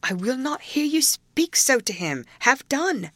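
A woman narrator plays a loyal young man in a storybook, earnest and full of reproach. He mutters urgently in a hushed, raised pitch, close and dry. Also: big pitch swings, measured pace, no room sound, heavily acted. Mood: reproachful, urgent. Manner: urgent muttering under the breath.

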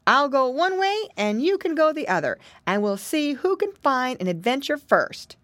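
A woman's voice: high-pitched, very animated, normal speed, a bit bright, dry recording, studio quality, pitched above the performer's own voice. A woman voicing a curious, adventurous little boy piglet in a storybook, talking eagerly.

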